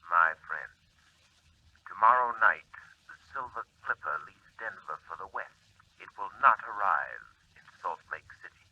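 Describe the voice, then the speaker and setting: An actor voicing a menacing villain heard over a telephone in a 1940 radio drama. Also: male voice, deep voice, animated, measured pace, pauses often, bright, forceful tone, breathy edge, no room sound, pitched below the performer's own voice, full character voice. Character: menacing male villain on a phone. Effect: telephone filter. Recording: muffled phone line, radio hiss.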